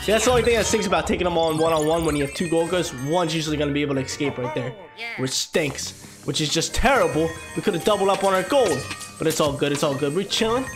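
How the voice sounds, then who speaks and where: A congested young man with a stuffy nose giving energetic, slangy let's-play commentary into a headset, with sudden squeaky exclamations. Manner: energetic, slangy, jumps to high-pitched exclamations. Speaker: gaming YouTuber. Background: game music.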